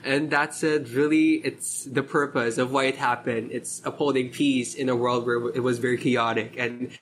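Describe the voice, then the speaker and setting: A man's voice: medium pitch, fairly even intonation, moderate pace, fairly bright tone, slight background noise. Young man hosting a chat podcast. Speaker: podcast host. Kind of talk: casual chat.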